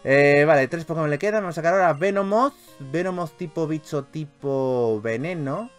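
A man with a Castilian accent from Spain giving excitable, sweary let's play commentary as a gaming YouTuber. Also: on the high side, normal speed, clean tone, soft background music. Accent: Castilian Spanish from Spain. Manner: animated, expressive, swears freely.

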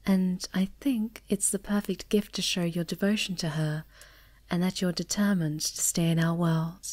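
A woman playing a sweet, affectionate, polite moth monster girl in an intimate ASMR roleplay, gentle and often dropping toward a whisper. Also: lowish voice, fairly even intonation, moderate pace, lightly acted. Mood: gentle, caring. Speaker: voice actor in an audio roleplay.

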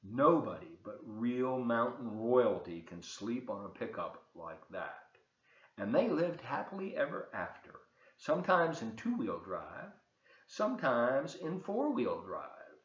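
Expressive older man reading aloud, very animated pitch. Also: takes it slowly. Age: 70s. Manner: expressive, explains pictures to listeners. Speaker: volunteer storybook reader. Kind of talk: read-aloud storytelling for children with asides. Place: large open kitchen and living room, indoors. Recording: echoey room, slightly dull webcam sound.

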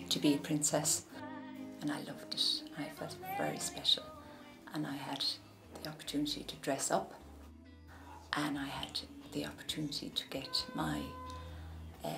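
A woman's voice: sits low, level tone, normal speed. A woman reminiscing in an unscripted interview. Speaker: former pantomime princess. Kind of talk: interview recollection. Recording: clear music behind voice.

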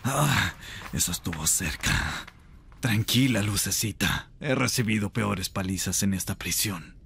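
A man voicing a chained rebel mage in an ASMR roleplay, intense, seductive and tender, with a breathy, gravelly voice. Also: on the low side, measured pace, a bit bright, a little hiss or hum. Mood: warm, wry.

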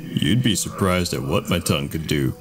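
A man's voice: a bass voice, animated, normal speed, over music. A man playing a cocky, evasive slacker angel in an audio drama, with a wry, casual manner. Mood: teasing. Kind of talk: audio fiction podcast acting.

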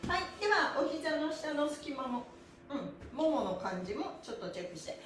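A middle-aged woman with a high, bright-spirited voice leads a stretching class. She is cheerful and encouraging, with a sing-song delivery. The room adds a clear echo around her words. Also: big pitch swings, moderate pace. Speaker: stretching instructor and livestream host. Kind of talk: exercise class livestream, chatty instruction with counting chants. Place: small bare-walled indoor studio room. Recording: roomy echo, mic a little away.

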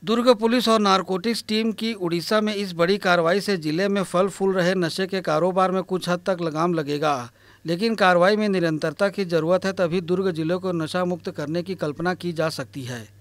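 A male reporter reading a scripted news report in a formal, even manner. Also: sits high, moderate pace. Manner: formal, even read delivery.

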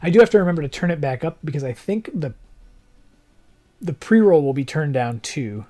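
Slightly hoarse, mellow young male streamer. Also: fairly high pitch, lively intonation, fairly quick. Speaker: game streamer. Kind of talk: casual livestream chat. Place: living room, seated in armchair indoors.

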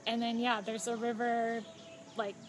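A woman in her thirties chatting casually on a phone livestream outdoors, relaxed and informative with fillers and small laughs. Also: on the high side, a little slow. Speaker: travel vlogger. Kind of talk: casual live chat. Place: outdoors at a home stay patio. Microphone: phone held close. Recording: outdoor noise behind.

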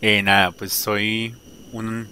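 A man in his thirties, a guest sharing his faith story on a video call through a headset, talking warmly with frequent laughs and fillers. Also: lowish voice, little pitch movement, takes it slowly, slight background noise. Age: 30s. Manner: warm, laughs often, hesitant fillers. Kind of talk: personal testimony in an interview.

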